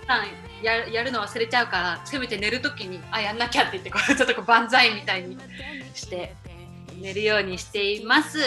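A woman's voice: mid-range voice, brisk, pushed and bright, soft background music. A woman co-hosting a podcast in casual, conversational chat. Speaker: podcast co-host; writer.

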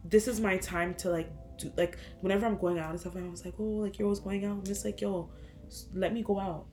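A woman's voice: medium pitch, fairly even intonation, fast talker, mellow, soft background music. A woman in her twenties co-hosting a casual chat with a friend, slangy, teasing and easygoing. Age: 20s.

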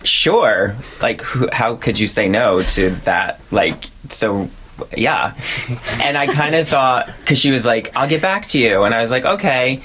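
A man from New Jersey, a ballet dancer, chatting as an interview guest and retelling events in a lively, animated way. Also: mid-range voice, measured pace, slight background noise. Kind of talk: casual interview chat.

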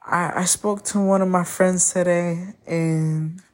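Slow, low-voiced woman speaking openly. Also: steady tone, a little mellow. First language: Spanish. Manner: candid, searching for words. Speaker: podcast host. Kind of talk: personal monologue.

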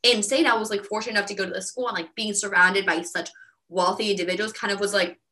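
A young woman from Venezuela co-hosting a podcast, with a bright, forceful voice. She tells her own story in a loose, chatty way and leans on "like" again and again. Her pitch sits in an ordinary middle range for a woman. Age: young adult. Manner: fast, filler-heavy, animated with frequent "like". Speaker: podcast co-host. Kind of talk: casual personal storytelling.

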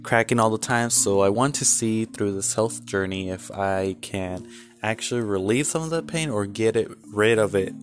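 Rambling young man, low voice. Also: normal speed, soft background music. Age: 20s. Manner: rambling and candid, with many fillers and restarts. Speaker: vlogger. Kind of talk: casual personal vlog.

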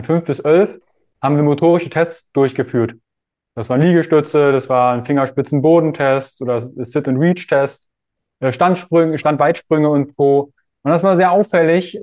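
A man hosting an interview, talking casually and thoughtfully, feeling for his words as he goes. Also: a little high. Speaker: podcast host. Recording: dull, lo-fi audio.

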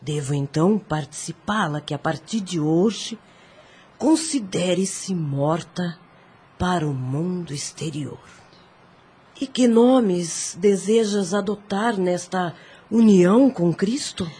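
An actress playing a stern elderly mother superior in a radio drama, speaking slowly and gravely. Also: fairly low pitch, dark tone, exaggerated voice. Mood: solemn. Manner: slow, grave and measured.

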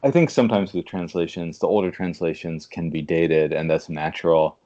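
Male interview guest, very low, dark voice, reflective and halting, on slightly dull audio. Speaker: podcast guest, literary translator. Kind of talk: conversational interview.

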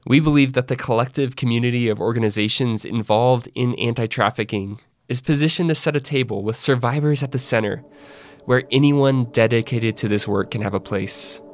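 A man hosting a podcast interview, reading a measured scripted intro and then asking conversational questions. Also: mid-range voice. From Northwest Arkansas. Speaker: podcast host.

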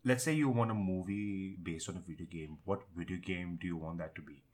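A man's voice: sits low, fairly quick, a little mellow. A man co-hosting a casual chat, giving blunt, opinionated takes. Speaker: podcast co-host. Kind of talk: casual chat about films and games.

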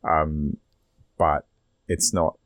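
Very deep-voiced Australian man, rambling. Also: level tone, very slow, fairly bright tone, no room sound. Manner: rambling, sweary. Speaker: podcast host. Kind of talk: casual opinionated discussion.

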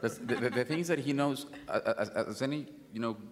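Actor, venezuelan accent, earnest, keeps restarting, big hall reverb. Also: male voice, medium pitch, a little fast, crowd noise. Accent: Venezuelan Spanish. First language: Spanish. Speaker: film actor on a panel.

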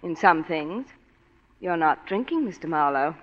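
A coy glamorous young wife. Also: female voice, low voice, animated, a little slow. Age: young adult. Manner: smooth, teasing, coy. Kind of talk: 1940s radio acting. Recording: dull 1940s radio broadcast.

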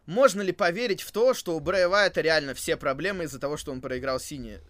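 A man's voice: fairly high pitch, quick pace, fairly bright tone. A man co-hosting a podcast in casual chat, thinking aloud with hesitations and repeated phrases. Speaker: co-host of a wrestling podcast.

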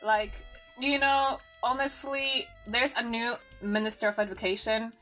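Young Polish-accented woman, twenties, bright tense tone, nervous halting delivery, faint music under. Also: a little high, fairly even intonation, rough recording. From Poland. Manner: earnest, nervous, halting with restarts. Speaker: podcast guest. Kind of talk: casual chat.

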